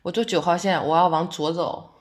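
A friendly young working woman as a woman plays her in an audio drama, with a slightly low-set voice. She speaks naturally and conversationally, a reflective fan of the theatre. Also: fairly even intonation, brisk, lightly acted.

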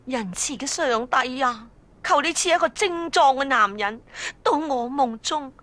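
Actress doing a super fervent praying woman, comic n theatrical, high sharp voice. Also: animated, unhurried, no room sound. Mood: fervent pleading.

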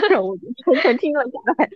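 A woman's voice: sits high, big pitch swings, normal speed, no room sound, dull audio. A woman in her thirties chatting casually like with an old friend, in a playful, self-mocking way. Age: 30s. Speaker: podcast host.

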